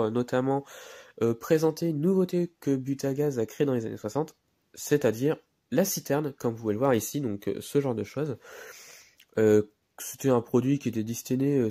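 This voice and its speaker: A man's voice: mid-range voice, mellow, no room sound, clean studio sound. A man with a head cold and a nasal voice, presenting a miniature car in a hesitant, casual way with many fillers. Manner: hesitant, many fillers, repeats words while handling the model.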